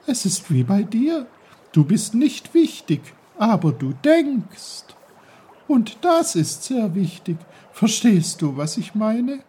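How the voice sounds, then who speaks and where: A man voicing a chatty, cheerful brook that is quick to take offence, in a storybook reading. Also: high voice, sing-song, warm tone, husky, pitched above the performer's own voice, heavily acted. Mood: explaining. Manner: bubbly, chatty, giggling.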